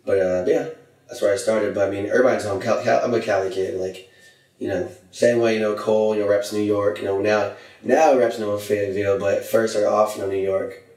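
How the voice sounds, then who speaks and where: A young man from Hawaii, raised in California, chatting as a podcast guest in a laid-back way, full of "you know" fillers and trailing off quietly. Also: on the low side, fairly even intonation, brisk, echoey room, far from the mic, rough recording. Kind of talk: casual podcast chat.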